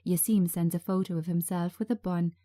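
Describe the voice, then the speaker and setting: A woman narrating a screenplay in a measured, scripted reading style. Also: lowish voice, fairly even intonation, mellow. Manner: measured, present-tense scene reading.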